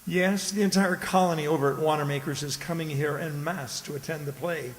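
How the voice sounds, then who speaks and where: An actor as a genteel gentleman of a radio play, condescending and quietly menacing. His voice is fairly high and precise, set in a reverberant hall with faint hiss. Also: fairly even intonation, a little fast, natural voice. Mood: genteel, affable. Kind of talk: theatrical radio drama acting. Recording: echoey hall, hissy background noise.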